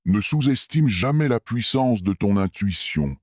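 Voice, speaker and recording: A man with a very deep voice narrates a scripted scary story in the first person. He speaks slowly and with measured suspense, in a dry recording with no room sound. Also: expressive, no room sound.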